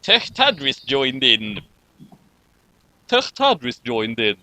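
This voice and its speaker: A man reading fan fiction aloud with casual, amused commentary, animated and mock-dramatic. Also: mid-range voice, slow pace.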